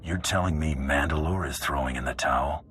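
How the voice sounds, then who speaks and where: A seasoned bounty hunter with an extremely low, gruff voice. He is cocky and sardonic, delivering his game cutscene lines coolly over background music. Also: fairly bright tone. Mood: measured. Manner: wry, cool, unhurried. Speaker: player character in a video game. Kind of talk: video game cutscene acting.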